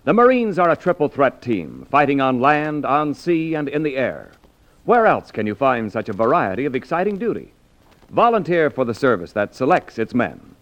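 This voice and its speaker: A man's voice: mid-range voice, sing-song, normal speed. A man announcing in scripted 1940s radio style on a dull vintage recording.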